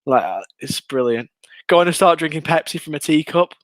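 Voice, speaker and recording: Excitable young English man, high voice. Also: normal speed, no room sound. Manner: excitable, chatty, reads out viewer comments. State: losing his voice. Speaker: fan watchalong host and commentator. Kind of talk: live watchalong commentary and chat.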